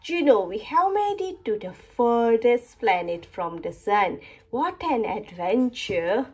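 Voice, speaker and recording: A woman reading a picture book aloud to young children in an expressive, deliberate storytelling manner. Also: fairly high pitch, husky. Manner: animated, slow, deliberate storytelling delivery. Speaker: children's book reader and channel host.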